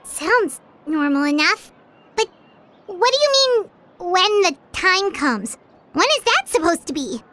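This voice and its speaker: A tiny, wide-eyed fairy companion from a game, with a very high, piping voice that jumps up and down as she talks. She sounds eager and curious, always asking what things mean. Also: very animated, unhurried, full character voice. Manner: curious, questioning, bright and eager. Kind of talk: game voice acting.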